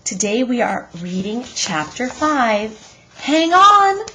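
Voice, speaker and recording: Woman, husky tone, slow pace, deliberate expressive storytelling, teacher reading to children. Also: on the high side, low-quality recording. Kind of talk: children's chapter book read-aloud.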